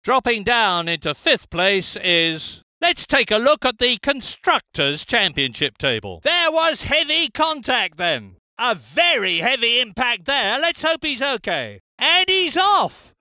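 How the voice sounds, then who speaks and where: An older British man commenting on motor racing, excitable and emphatic, speaking into a handheld lip mic for recorded game clips. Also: extremely high pitch, a little slow, few pauses, no room sound. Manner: excitable, emphatic, rising to bursts of alarm.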